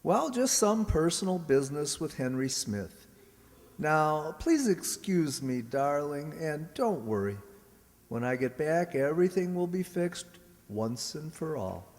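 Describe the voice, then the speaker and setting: Radio drama: male actor as scheming android double, suave, evasive, quietly menacing, lightly pitched, recorded in a reverberant hall. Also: big pitch swings, unhurried. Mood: secretive, resolved. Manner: smooth, evasive, coolly menacing. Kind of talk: radio play acting. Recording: echoey hall, stage radio play.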